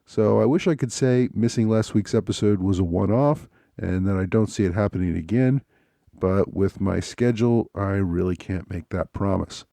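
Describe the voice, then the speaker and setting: A man hosting a history podcast, talking in a measured, unhurried way, his voice a little scratchy from a cold. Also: low-pitched, creaky, mellow, no room sound. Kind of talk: solo podcast talk.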